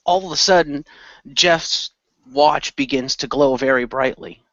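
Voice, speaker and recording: Man, role-player, improvised narration and character lines, forceful bright tone, dry muffled recording. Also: medium pitch, a little slow, dry recording. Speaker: player in a role-playing game.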